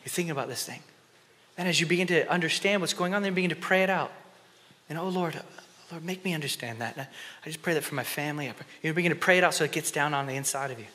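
Man in his thirties preaching from a church stage with a headset mic, bright voice, passionate, drops to whispers, hall reverb. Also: a little high, racing. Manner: impassioned, shifts between loud emphasis and hushed whispered prayer. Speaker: conference speaker. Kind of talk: sermon. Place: church stage before an audience.

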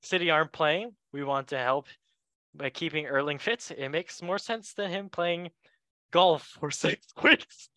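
A man hosting a casual sports chat show in a jokey, excitable way, speaking English as a second language. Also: fairly high pitch, measured pace, no room sound. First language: not English. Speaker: show host.

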